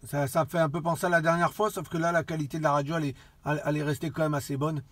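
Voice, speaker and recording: A man chatting casually as an amateur radio operator, halting and often repeating his phrases. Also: on the high side, fairly even intonation, no echo. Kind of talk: casual radio contact chat.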